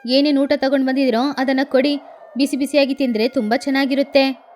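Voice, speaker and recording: A woman's voice: sits high, fairly even intonation, fast, a little mellow, a little hiss or hum, natural voice. A woman voicing a bossy, boastful, eccentric female crow in a cartoon story. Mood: self-assured. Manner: bossy, self-assured. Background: background music.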